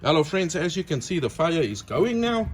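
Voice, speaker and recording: A man's voice: a little high, big pitch swings, moderate pace, noisy background. A middle-aged South African man with an Afrikaans accent, chatting outdoors as he shows how to braai, addressing viewers as friends. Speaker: cooking vlogger. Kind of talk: friendly outdoor cooking tutorial.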